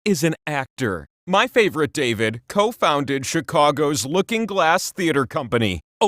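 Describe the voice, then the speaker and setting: A grand, boastful talking wheel hosts a quiz game like a playful god. The voice sits fairly high for a man and swings widely in pitch, pompous one moment and teasingly chatty the next. The sound is very dry and close, with no room around it. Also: fairly bright tone, dry recording. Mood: fond, dramatic. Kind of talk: theatrical game show hosting.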